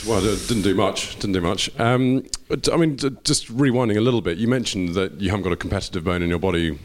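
A man's voice: fairly low pitch, fast talker, a little hiss or hum. A man chatting casually as a panel interviewer, tentative and self-effacing. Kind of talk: casual chat.

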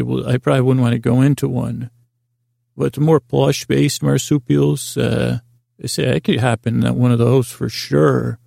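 Very creaky, rambling man at bedtime. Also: medium pitch, warm tone, dry recording, clean studio sound. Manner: meandering, tangled sentences that trail and double back; silly, goofy wordplay. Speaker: podcast host. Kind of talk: rambling bedtime monologue to help listeners fall asleep.

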